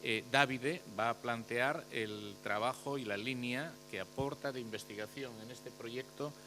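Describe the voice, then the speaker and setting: A man's voice: mid-range voice, measured pace, pushed and bright, slight background noise, low-quality recording. A man moderating a conference session, introducing the next speaker in a loose, hesitant way with frequent fillers.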